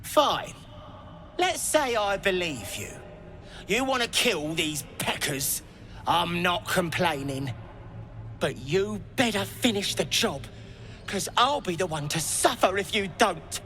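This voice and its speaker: Surly, high, sharp-voiced slave. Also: male voice, lively intonation, slow, a little hiss or hum, exaggerated voice. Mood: wary. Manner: irritable, blunt, suspicious. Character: surly, foul-mouthed, downtrodden male slave in fantasy game.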